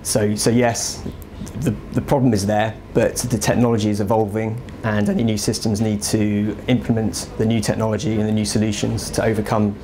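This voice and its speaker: Low, flat-toned, mellow man. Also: a little fast. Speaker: aviation consultant. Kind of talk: explanatory interview. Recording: faint indoor ambient noise.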